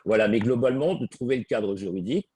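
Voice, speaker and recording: A man's voice: mid-range voice, animated, quick pace, dark tone, a little hiss or hum. A man speaking as a guest in a webinar, hesitating and repeating words as he explains. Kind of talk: webinar presentation.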